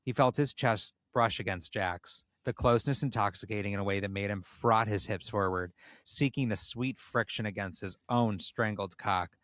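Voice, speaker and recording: A man reading comedic erotic fiction aloud with expressive, story-like narration, as a podcast host. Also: on the low side, moderate pace, dry recording, studio quality.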